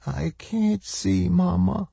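A man voicing a dazed, injured little girl with a hoarse, slurred delivery in an audiobook. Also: high-pitched, flat delivery, extremely slow, dark tone, dry recording, pitched above the performer's own voice, heavily acted. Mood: listless.

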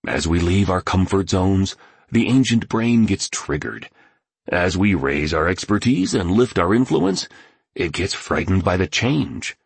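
Man playing an earnest, husky-voiced artist. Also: deep voice, animated, a little slow, no room sound, heavily acted. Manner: earnest, eager explaining. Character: enthusiastic male artist, earnest and admiring.

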